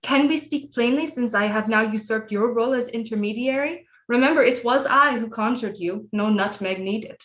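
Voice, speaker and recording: A woman's voice: on the high side, normal speed, hardly any pauses, fairly bright tone, close to an everyday voice. A woman acting a haughty, scheming, lovelorn Renaissance queen in an audio play, speaking formal, archaic lines theatrically. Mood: haughty, bitter. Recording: roomy echo with music behind.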